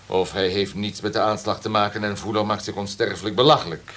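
An actor playing a domineering, calculating radio station boss in a Dutch radio drama, with a pompous, commanding manner. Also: male voice, lowish voice, steady tone, fast talker, a bit bright, a little hiss or hum, natural voice. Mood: lecturing, sure. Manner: pompous, commanding, throat-clearing.

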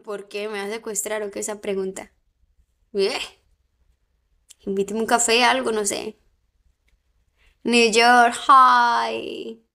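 A woman's voice: a little high, level tone, takes it slowly, vocal fry, pauses often, sharp tone. A young Colombian woman with a mixed coastal and Santander accent, chatting playfully with viewers on a phone livestream. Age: young adult.

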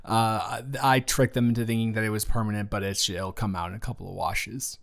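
A man playing a flustered, caring young best friend in an improvised romantic audio roleplay, talking haltingly and teasingly. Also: lowish voice, steady tone, vocal fry. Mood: sheepish, playful. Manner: hesitant, halting, conversational, teasing.

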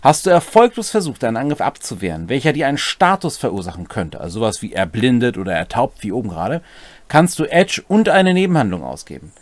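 Middle-aged male co-host, animated pitch and dry close sound, casual rules explainer with playful asides. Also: fast talker, dry recording. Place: small indoor home room.

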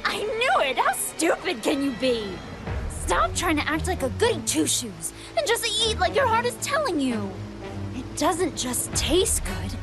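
A woman's voice: very high-pitched, wildly swooping pitch, unhurried, full character voice. A woman playing a ravenous, theatrical female android villain in an anime video game. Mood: gleeful, ravenous. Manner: theatrical, emphatic. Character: gleeful ravenous female android villain with a gentle good side. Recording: loud music behind the voice.

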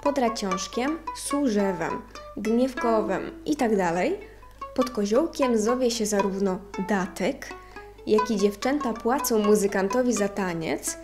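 A woman narrator giving a measured, scripted reading of an old ethnographic text. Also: mid-range voice, over music.

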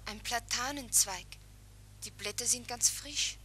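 An actress playing a polite doctor's wife on the telephone in a 1960s radio play. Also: female voice, a little high, a little slow, bright, forceful tone. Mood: puzzled. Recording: faintly noisy vintage mono radio recording.

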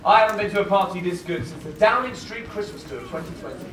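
High-voiced young pantomime prince, played by a man. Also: quick pace, natural voice. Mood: wry. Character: young, lovelorn, lightly bossy pantomime prince. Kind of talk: live pantomime stage acting. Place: theatre stage, recorded from afar. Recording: distant echoey theatre with audience noise.

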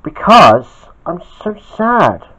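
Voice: mid-range voice, huge pitch swings, slow pace, fairly bright tone, voice pushed up, full character voice. Someone doing a cross, bossy little boy, dull recording. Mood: anxious, sad. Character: cross, sad, bossy boy party host Bobby. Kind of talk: children's story character voice.

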